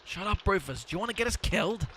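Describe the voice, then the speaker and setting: An actor playing the exasperated, sensible half of a comic duo in an amateur audio drama, bossy and long-suffering. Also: male voice, sits high, animated, quick pace, a bit bright, a little hiss or hum. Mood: tense, irritated.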